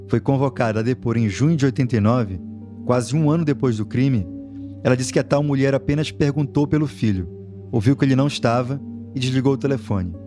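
A man co-narrating a true-crime podcast, calmly explaining case details. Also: mid-range voice. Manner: calm explanatory storytelling.

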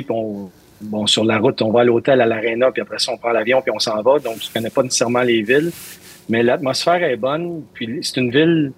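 A man's voice: mid-range voice, slightly rough. A man from Montreal with a Quebec French accent answering interview questions as a hockey coach, hesitating and repeating words as he searches for them.

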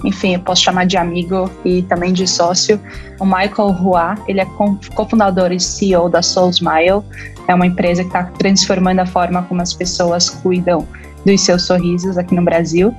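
A woman co-hosting a podcast, introducing the guest in a steady way. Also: lowish voice, moderate pace, soft background music. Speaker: podcast co-host.

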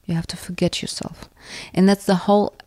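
A woman from the former Soviet Union, a native Russian speaker with a Russian accent, answering interview questions in a reflective way and often pausing to search for words. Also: fairly low pitch, level tone, darkish tone, no room sound. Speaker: author and interviewee.

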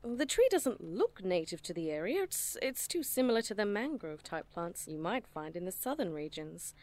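A woman's voice: mid-range voice, sing-song, moderate pace, a little mellow, lightly acted. An actress playing an affectionate, playfully teasing woman botanist in an audio drama, dryly amused and fond of endearments. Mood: thoughtful, dry. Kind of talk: naturalistic audio drama dialogue.

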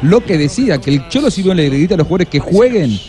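A man giving quick, emphatic remarks as a pundit on a sports radio show. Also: sits high, very animated, warm tone. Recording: crowd noise in the background.

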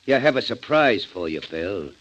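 An actor playing a shrewd, cynical, slangy kidnapper in a 1940s radio crime drama. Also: male voice, lowish voice, sing-song, normal speed, a bit bright, a little hiss or hum. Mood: smug, scheming. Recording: muffled 1940s radio recording.

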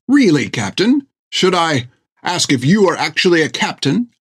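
Pompous, fussy male ship's doctor, read by a man, raised pitch, indignant and prim. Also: big pitch swings, normal speed, slightly rough, no room sound, clean studio sound, full character voice.

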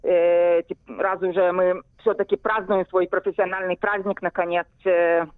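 A woman's voice: lowish voice, level tone, normal speed, no echo. A woman answering interview questions conversationally as a guest over a narrow telephone line. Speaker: interview guest, poet and literary editor. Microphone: phone call.

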